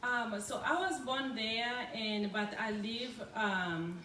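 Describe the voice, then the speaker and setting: A middle-aged woman whose first language is French gives a public talk with a French-influenced accent. She speaks very slowly and haltingly, pausing often. Her voice is heard from a distance in an echoey room, with faint ambience behind it. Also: a little high, fairly even intonation, pushed and bright, a little hiss or hum. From Congo. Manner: hesitant, halting, many pauses and fillers. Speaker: food entrepreneur speaker. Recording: roomy, distant from the mic, faint background ambience.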